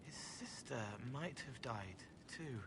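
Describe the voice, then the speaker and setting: An actor playing a gentle, haunted young man in a video game, speaking softly and earnestly in halting fragments, in a reverberant space. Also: medium pitch, animated. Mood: haunted, sorrowful. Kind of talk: dramatic video game acting. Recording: long reverb, faint background noise.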